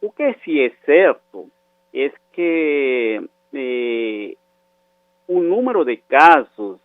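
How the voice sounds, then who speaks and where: Over a narrow, dry phone line, a man with a Venezuelan Spanish accent speaks as an academic guest. He talks slowly and haltingly, searching for words as he explains. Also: medium pitch, very animated, no echo. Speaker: professor, interview guest. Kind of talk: explanatory interview answers. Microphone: phone call.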